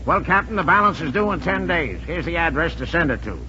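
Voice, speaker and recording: Sharp, high-pitched auctioneer. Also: male voice, sing-song, fast talker, a little hiss or hum, heavily acted. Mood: businesslike. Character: brisk, businesslike auctioneer selling a boat. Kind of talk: 1940s radio comedy acting. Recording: muffled old radio broadcast recording.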